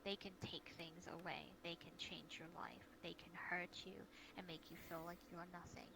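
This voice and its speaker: Woman playing careful caring guild leader in online fantasy rp, lower voice, earnest n hesitant, noisy background. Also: flat delivery, normal speed, creaky. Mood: earnest, cautious. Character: caring, cautious blind guild leader wary of vampires. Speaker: role-play guild leader. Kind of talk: improvised online fantasy role-play. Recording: noisy online voice-chat audio.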